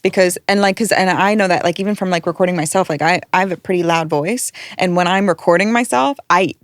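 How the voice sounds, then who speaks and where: A woman who works as a vocal producer, speaking quickly and chattily on a panel about recording vocals. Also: on the low side, no room sound, studio quality. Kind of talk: casual panel discussion.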